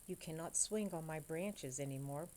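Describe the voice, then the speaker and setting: Woman voicing a soft, low-pitched, sighing old tree. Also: normal speed, slight background noise, close to an everyday voice. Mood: sad, apologetic. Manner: soft, sighing, partly whispered. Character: gentle, selfless old tree, female, weary and giving.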